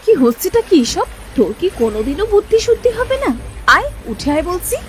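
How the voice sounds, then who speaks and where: Woman as a high-voiced, caring elder sister. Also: very animated, brisk, slight background noise. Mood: scolding.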